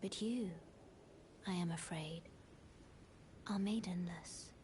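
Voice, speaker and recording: An actress playing a mysterious maiden guide in a fantasy game, soft-spoken, formal and solemn, with a breathy, half-whispered delivery. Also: female voice, lowish voice, slight background noise. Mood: calm, earnest. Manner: formal, measured, hushed. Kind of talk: scripted fantasy game dialogue.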